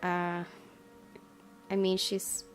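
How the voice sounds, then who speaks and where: A woman's voice: fairly low pitch, monotone, extremely slow. A woman hosting a gaming video, reading visual novel narration aloud. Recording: game music behind. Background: music.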